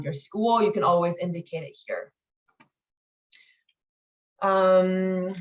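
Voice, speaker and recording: Woman in dull, lo-fi meeting audio. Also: medium pitch. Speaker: mentor leading an online training meeting. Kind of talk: informal instructional walkthrough with slides.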